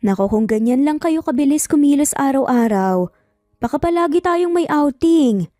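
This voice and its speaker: Woman playing an affectionate, teasing mother, mellow. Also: high-pitched, expressive, moderate pace, clean studio sound, voice pushed up. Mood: indulgent. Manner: playfully scolding, indulgent. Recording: faint music, roomy echo.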